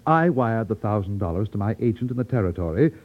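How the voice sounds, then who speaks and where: An actor playing an elderly, over-polite racketeer boss with veiled menace in a 1950s radio drama, fussy and condescending. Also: male voice, fairly low pitch, expressive, quick pace, lightly acted. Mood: brisk, businesslike. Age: older. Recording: tinny, narrow-band vintage radio recording.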